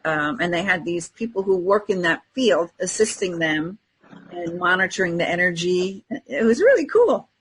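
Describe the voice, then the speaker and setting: A woman's voice: on the low side, expressive, normal speed, breathy edge, rough recording. A woman in casual podcast conversation, telling personal anecdotes and acting out bits of dialogue.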